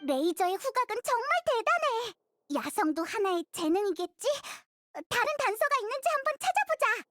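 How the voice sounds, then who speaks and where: A woman's voice: very high voice, big pitch swings, moderate pace, a bit bright, heavily acted. A woman voicing a tiny, childlike fairy companion in an anime game, inquisitive and full of exclamations. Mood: curious, excited. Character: tiny cheerful floating fairy girl companion.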